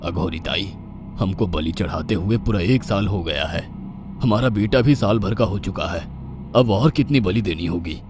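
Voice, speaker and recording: A man's voice: deep voice, moderate pace, over music, natural voice. A man playing a greedy, gullible, scheming husband in a horror cartoon story, speaking dramatically. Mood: impatient.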